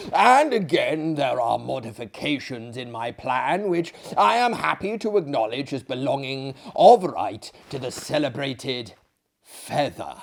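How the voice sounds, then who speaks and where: Man acting pompous, animated madhouse host. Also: medium pitch, creaky. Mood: genial, lofty. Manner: pompous, emphatic, halting with interjected "eh". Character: genial, pompous, slightly crazed Frenchman running a madhouse. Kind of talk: theatrical audiobook character acting.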